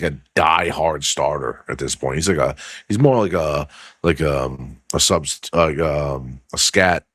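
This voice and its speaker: A man hosting a casual sports podcast, rambling and swearing as he reads news aloud with his own asides, his voice going hoarse. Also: lowish voice, dry recording, studio quality. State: losing his voice. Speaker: podcast host.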